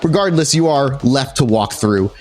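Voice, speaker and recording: A man's voice: medium pitch, animated, moderate pace, darkish tone, faint music behind. A man narrating a tabletop horror game as storyteller, improvising descriptions in a conversational way with some casual swearing.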